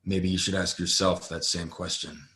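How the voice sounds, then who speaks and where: Man playing low-voiced, steely western stranger. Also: fairly even intonation, moderate pace, noisy background, natural voice. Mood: cool, challenging. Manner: terse, unflappable, dryly mocking. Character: calm, steely, mysterious stranger in an Old West saloon. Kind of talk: audio play acting, western.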